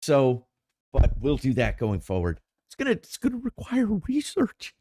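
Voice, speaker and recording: A middle-aged man co-hosting a podcast, talking in a loose, rambling way and often restarting his sentences. His pitch swoops all over the place with a lively bounce. The sound is dry and close, with no room echo. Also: moderate pace. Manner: rambling, animated, frequent restarts. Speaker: podcast co-host. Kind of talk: casual chat.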